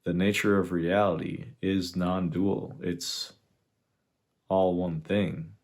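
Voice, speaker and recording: A man leading a guided meditation, speaking in an unhurried, reflective way with long pauses between phrases. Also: low-pitched, little pitch movement, a little mellow. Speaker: meditation guide, spiritual teacher. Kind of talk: guided meditation, contemplative teaching.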